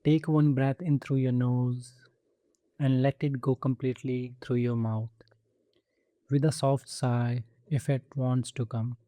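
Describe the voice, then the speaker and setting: A man leading a guided meditation with soothing, gentle instruction and measured pauses between phrases. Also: medium pitch, flat delivery, no room sound. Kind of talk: guided body scan meditation.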